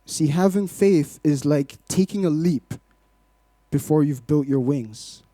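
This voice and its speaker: Mellow, higher-pitched young man speaking. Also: takes it slowly, dry recording. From Glasgow, Scotland, born in Malawi. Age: 20s. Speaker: church guest speaker giving testimony, poet. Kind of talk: personal testimony to a congregation; rhythmic spoken-word poetry in places.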